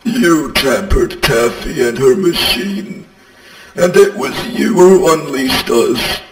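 A man voicing a vengeful haunted animatronic creature for horror game voice lines, menacing and bitter in a drawn-out delivery. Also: medium pitch, lively intonation. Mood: cold, accusing. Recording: echoey long reverb, distant, music behind.

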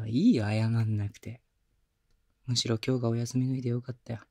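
A man playing a young boyfriend who coaxes and pampers his partner, talking in rough casual slang softened with gentle whispers, recorded close on a binaural mic. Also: medium pitch. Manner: soft close whispers at times. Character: young male boyfriend, rough-spoken but doting caretaker. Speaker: voice actor. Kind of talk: Japanese girlfriend-experience roleplay audio drama.

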